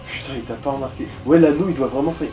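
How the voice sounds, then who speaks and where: Mellow, crude gamer guy. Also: medium pitch, fast talker, faint music behind. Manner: crude, slangy, swearing. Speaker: gaming co-commentator guiding the player. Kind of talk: casual let's play commentary.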